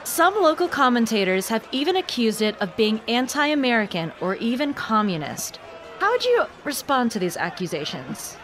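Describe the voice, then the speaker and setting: A woman's voice: fairly high pitch, very animated, moderate pace, faint music behind. A woman playing a composed TV news reporter in an audio drama, reporting live in an articulate, urgent, professional way. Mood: probing. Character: composed female TV reporter covering a tense protest.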